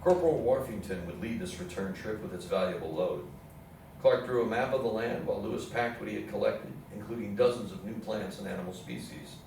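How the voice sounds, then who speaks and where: A man's voice: fairly low pitch, brisk, vocal fry, darkish tone. A man reading scripted historical narration as the narrator of a stage reading. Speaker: narrator in a readers theatre play. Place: indoor theatre room with audience program. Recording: echoey hall, distant mic, faint noise.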